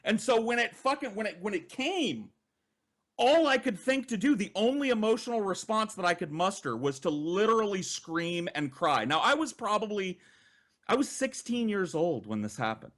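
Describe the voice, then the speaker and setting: A man's voice: high-pitched, sing-song, moderate pace, a bit bright. A man telling comic personal stories as a podcast co-host, with theatrical emphasis and casual swearing.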